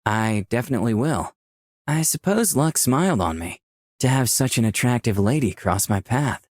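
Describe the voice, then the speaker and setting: An actor playing a composed, charming incarnation of lust, in visual novel dialogue and inner monologue. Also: male voice, mid-range voice, lively intonation, normal speed, darkish tone, dry recording, studio quality, natural voice.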